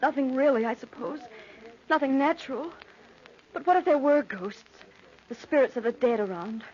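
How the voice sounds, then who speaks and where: Young fiancée: high, fretful, earnest voice, vintage radio melodrama, muffled recording. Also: female voice, lively intonation, moderate pace, a little mellow. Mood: uneasy. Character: anxious, devoted young bride-to-be. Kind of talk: old-time radio drama acting.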